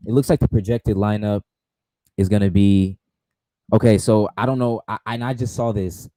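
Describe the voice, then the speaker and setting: Twenty-something guy streaming from home, lowish voice, warm and dark, hyped and repeats himself. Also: normal speed, poor audio. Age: 20s. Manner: excitable, repetitive, talks straight to chat. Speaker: sports streamer. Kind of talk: casual live-stream chat. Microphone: desk mic and headphones.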